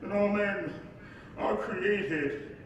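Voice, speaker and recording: Give the stage an embattled, weary civil rights leader with an emphatic orator's delivery. His voice sits a little high and moves slowly. It is heard from a distance in a very echoey live hall. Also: muffled recording, background noise. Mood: fervent oratory. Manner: oratorical, emphatic, stammering when troubled. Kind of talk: staged play reading, dramatic monologue and dialogue.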